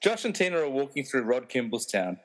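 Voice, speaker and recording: Man narrating with lively pitch swings. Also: brisk, poor audio. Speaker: narrator reading stage directions. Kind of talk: scripted narration of a radio play.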